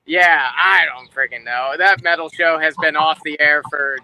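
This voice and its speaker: A man from New Jersey with a very bright, forceful, fairly high voice. He chats casually as an interview guest, recorded close and dry. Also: steady tone, normal speed, clean tone, no room sound, low-quality recording. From New Jersey, United States. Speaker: guest, rock drummer. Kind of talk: casual chat.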